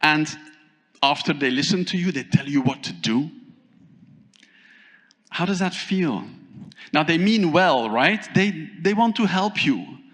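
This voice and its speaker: Keynote speaker, male, somewhat high voice, engaging and lively, asks the room questions; hall reverb on the mic. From Thailand. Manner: animated, asks the audience questions, pauses often. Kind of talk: conference keynote talk. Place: large conference hall.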